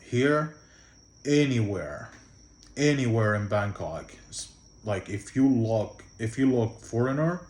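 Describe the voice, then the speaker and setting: A man's voice: mid-range voice, expressive, takes it slowly, a little mellow, reverberant. A man from Egypt with an Egyptian accent, giving casual travel tips to the camera in a loose, rambling way full of fillers and restarts. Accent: Egyptian Arabic. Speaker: travel vlogger.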